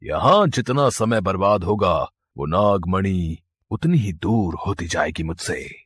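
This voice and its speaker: Cruel, husky serpent villain. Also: male voice, deep voice, expressive, exaggerated voice. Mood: commanding. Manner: slow, drawn-out, taunting threats. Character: wicked male serpent-clan villain, cruel, menacing, gloating.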